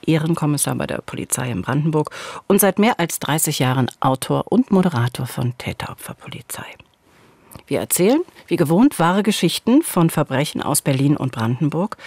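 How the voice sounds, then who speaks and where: A middle-aged woman co-hosting a true-crime podcast, narrating from a script in a measured, deliberate way at a studio microphone. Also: sits low, a little mellow, slightly rough, no room sound. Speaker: podcast co-host. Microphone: studio microphone on a boom. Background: music.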